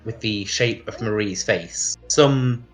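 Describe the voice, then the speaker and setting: A man narrating casually as game master, improvising and thinking aloud in a halting way. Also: on the low side, faint music behind.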